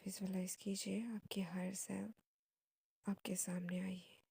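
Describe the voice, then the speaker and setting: Breathy, slow Indian woman guiding meditation. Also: mid-range voice, level tone, no room sound, clean studio sound. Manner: slow, hushed, breathy delivery in short phrases with long pauses. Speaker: meditation guide. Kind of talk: guided meditation.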